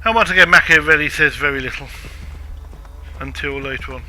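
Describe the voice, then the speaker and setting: Man, gameplay walkthrough commentary, high bright voice, chatty. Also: brisk, slight background noise, low-quality recording. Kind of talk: casual game commentary.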